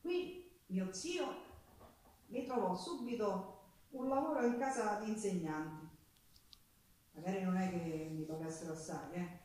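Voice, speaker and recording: A woman's voice: mid-range voice, warm tone, natural voice. An actress playing a poor, plain-spoken Sicilian working woman who recounts her hard life in an earnest theatrical monologue with dialect words. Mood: matter-of-fact. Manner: earnest, colloquial storytelling with dialect words and pauses. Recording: echoey stage recording from far off.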